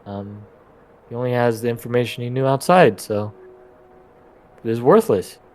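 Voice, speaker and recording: Male, mid-pitched, tentative tabletop player improvising dialogue, stop-and-start delivery. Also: animated, a little mellow. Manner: hesitant, halting delivery with trailing phrases. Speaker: player in a tabletop role-playing game.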